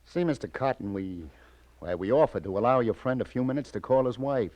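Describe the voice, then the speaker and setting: An actor playing a hard-boiled police detective, weary but firm, with terse, slangy delivery in old radio crime drama style. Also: male voice, medium pitch, brisk, a little mellow, no room sound, lightly acted. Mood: subdued. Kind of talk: 1940s-50s radio crime drama acting.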